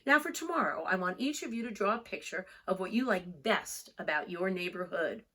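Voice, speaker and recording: A kindly owl schoolmaster voiced by a woman reader from a storybook. He speaks emphatically and with encouragement. His pitch moves around a lot, over a husky tone. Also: expressive, brisk, fairly bright tone. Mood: instructive.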